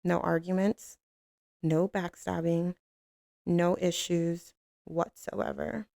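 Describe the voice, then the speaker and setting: A woman acts out a tough female mafia boss in an intimate ASMR roleplay. The voice is full of gravelly fry and takes its time, cool and commanding yet tender toward a lover. It sounds very dry and close, with no room around it. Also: lowish voice, fairly even intonation, mellow, no echo. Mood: measured, philosophical. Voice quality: gravelly, creaky. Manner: cool, unhurried, commanding drawl with pet names.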